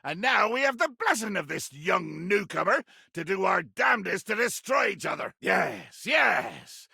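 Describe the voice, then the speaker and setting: An actor playing a small, hot-tempered, pompous pirate in a video game, with a gravelly, raspy voice and florid, theatrical, old-fashioned phrasing. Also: male voice, sits high, big pitch swings, measured pace, bright, forceful tone, dry recording, studio quality, full character voice. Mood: triumphant, bombastic. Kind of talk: video game character acting.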